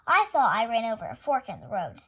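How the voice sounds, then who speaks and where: A cheerful, muddled woman driver from a children's storybook, voiced by a woman reader, in a high voice. She takes everything literally and speaks with innocent earnestness. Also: huge pitch swings, a little fast, bright, forceful tone, breathy edge, full character voice. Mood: matter-of-fact.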